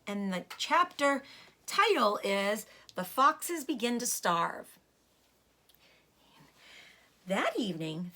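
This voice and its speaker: A woman teacher reading a children's storybook aloud in an expressive way. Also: a little high.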